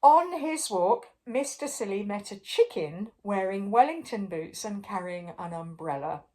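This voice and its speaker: An older woman reading a picture book aloud to young children in a playful, expressive way, with chatty asides to the listener. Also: medium pitch, unhurried. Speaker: storyteller.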